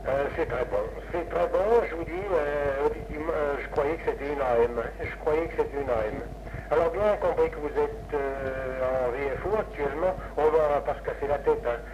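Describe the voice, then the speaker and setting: A man's voice: on the high side, fairly quick. Male ham operator, rambling and hesitant, casual, over dull, hissy 1960s radio audio. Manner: rambling, hesitant, repeats himself. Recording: noisy lo-fi 1960s amateur radio recording.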